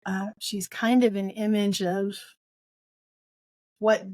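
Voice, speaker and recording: Female co-host, conversational and opinionated, medium pitch, dry studio-like sound. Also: little pitch movement, takes it slowly, a little mellow, dry recording. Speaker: book podcast co-host. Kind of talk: casual book discussion.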